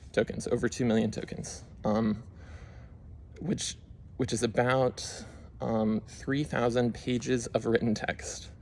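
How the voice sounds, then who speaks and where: A man's voice: mid-range voice, gravelly, a little mellow, a little hiss or hum. A young man casually walking through his screen, rambling with lots of fillers as he explains what he did. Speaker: YouTube creator. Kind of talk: casual screen-share walkthrough.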